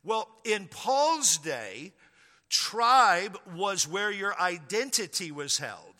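A man's voice: sits high, sing-song, takes it slowly, bright, forceful tone. A man preaching a sermon as pastor of his church, driving points home with emphatic repetition. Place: church sanctuary. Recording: long reverb of a hall.

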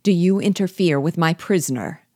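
A woman's voice: low-pitched, steady tone, unhurried, darkish tone, no room sound, clean studio sound. A woman voicing a vengeful dwarf sorcerer in an audiobook, commanding and passionate, with a raised voice. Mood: concentrated passion. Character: vengeful dwarf sorcerer, furious man hurling curses. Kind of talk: dramatic audiobook character reading.

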